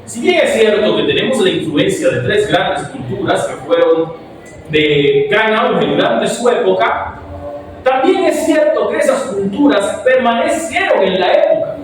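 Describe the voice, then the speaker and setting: A man's voice: high-pitched, very animated, unhurried. A young Dominican man giving an academic speech as a philosophy student, with many rhetorical questions put to the audience. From Dominican Republic. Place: event hall before an audience. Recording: very echoey, distant mic with noise.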